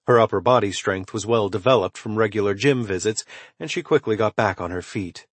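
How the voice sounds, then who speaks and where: A man reading a suspense thriller novel as scripted narration, in a dramatic, measured storytelling manner with emphatic swells and hushed drops. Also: fairly low pitch, dry recording. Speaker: audiobook narrator.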